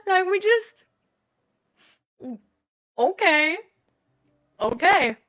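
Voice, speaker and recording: A woman's voice: very high-pitched, very animated, drawn-out words, fairly bright tone. A woman from Germany chatting casually as a live host, rambling and thinking aloud in a hesitant, giggly way. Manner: giggly, laughs often while talking. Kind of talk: casual chat.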